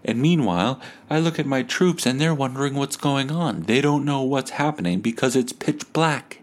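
A man hosting a podcast, telling stories in a casual, comedic, animated way with some swearing. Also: mid-range voice, normal speed. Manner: animated, acts out quoted dialogue; profane. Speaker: podcast host.

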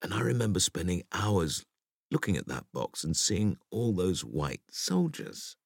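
A man reading a conversational essay for an audiobook in a deliberate, expressive storytelling manner. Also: sits low, husky, no echo, studio quality. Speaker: audiobook reader.

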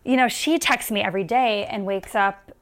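A woman's voice: medium pitch, moderate pace. A young adult woman from the United States, a stand-up comedian guest chatting casually and laughing often at herself in an interview.

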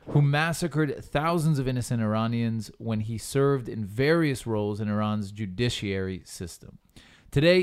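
A man's voice: mid-range voice, a little mellow. A man hosting a podcast, reading an intro and then chatting in a joking, casual way. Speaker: podcast host.

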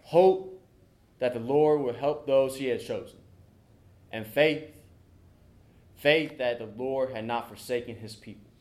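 A young man preaching and reading scripture at a church service, deliberate, pausing between short phrases. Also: mid-range voice, a little mellow. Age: young adult. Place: church sanctuary during a service.

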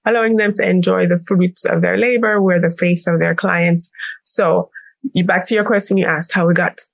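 A woman's voice: on the low side, vocal fry, no room sound. A woman from Jamaica with a Jamaican accent, explaining things conversationally as a business-owner interview guest.

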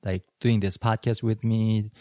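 A man whose first language is Korean, co-hosting a podcast in a casual chat, measured and reflective. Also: fairly low pitch, little pitch movement, mellow, no echo. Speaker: podcast co-host.

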